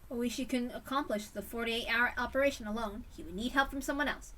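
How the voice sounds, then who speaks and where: Bright-voiced woman reading a story, slightly distant. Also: on the high side, fast talker, slight background noise. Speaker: reader. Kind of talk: dramatic reading of a visual novel story.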